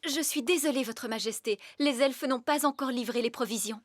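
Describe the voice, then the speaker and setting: A high-voiced, brisk fairy nanny. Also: female voice, dry recording, studio quality. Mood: polite, dutiful. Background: background music.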